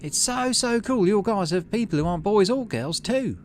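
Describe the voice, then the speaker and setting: An eager, friendly game kid, acted out by a man in a raised, bouncy voice. The child is earnest and gushing, with clear noise behind the voice. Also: high voice, lively intonation, normal speed, a little mellow, voice pushed up. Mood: bright, gushing. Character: bright, eager, friendly nonbinary child from a colony ship.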